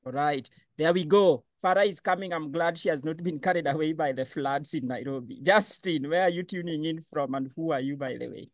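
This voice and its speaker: A man hosting an online panel discussion, lively and questioning. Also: fairly high pitch, a little fast, few pauses, dry recording. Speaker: webinar host.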